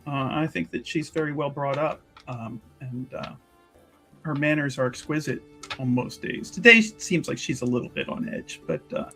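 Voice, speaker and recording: A man's voice: mid-range voice, normal speed, bright, forceful tone, soft background music, close to an everyday voice. A man playing a polite, diplomatic female investigator in a tabletop roleplaying game, speaking in careful, formal, hedging phrases. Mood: placating.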